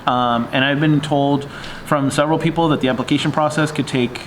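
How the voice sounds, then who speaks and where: A man co-hosting a casual vlog, telling a story haltingly and acting out remembered dialogue. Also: a little high, fairly even intonation, poor audio. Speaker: vlog co-host. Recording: faint background noise.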